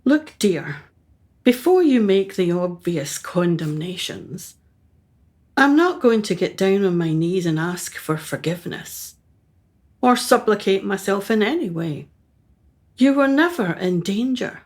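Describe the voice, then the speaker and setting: A woman's voice: medium pitch, very animated, unhurried, darkish tone. A woman acts out a self-absorbed society mother for a radio play. She is imperious and brusque, speaking in short, clipped phrases with upper-class hauteur. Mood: defensive, haughty.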